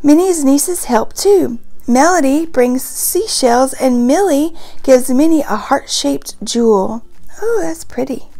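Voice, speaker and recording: A woman with a very high voice reads a children's storybook aloud. She is expressive and speaks straight to her young listeners. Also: slow pace, soft background music, poor audio. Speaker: parent reading a picture book aloud.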